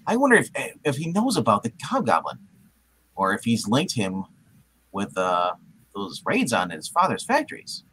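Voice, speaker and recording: Man acting out an excitable, swooping young superhero. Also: fairly low pitch, moderate pace, slight background noise, poor audio. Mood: anxious musing. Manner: exclamatory, swooping, theatrical. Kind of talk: comedic live comic book reading.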